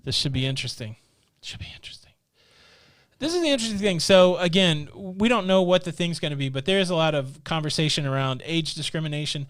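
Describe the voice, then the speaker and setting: A man's voice: on the high side, lively intonation, quick pace, a bit bright, clean tone, dry recording. A middle-aged man from the American Midwest co-hosting a live stream, chatting casually and reading viewer comments aloud into a studio mic. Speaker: co-host. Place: small studio room with a purple backdrop. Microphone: studio mic on a boom arm.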